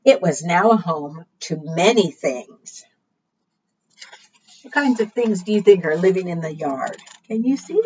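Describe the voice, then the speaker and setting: Female storytime librarian, lively intonation, teacherly read-aloud for preschoolers, heard dully from a distance. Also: on the low side. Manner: asks the young listeners frequent simple questions; expressive, deliberate teacherly delivery. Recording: muffled, distant microphone.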